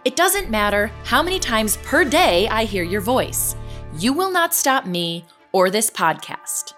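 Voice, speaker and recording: A woman's voice: a little high, very animated, unhurried, fairly bright tone. Resolute woman podcaster, earnest audio drama announcement, music underneath. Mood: defiant. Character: determined female podcast host defying a stalker. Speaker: podcast host.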